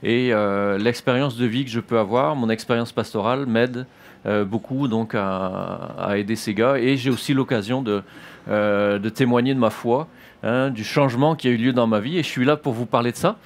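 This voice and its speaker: A man in his fifties from northern France with a French accent, a military chaplain giving his testimony in church, pausing often with fillers. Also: mid-range voice. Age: 50s. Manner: hesitant, many "euh" fillers and restarts.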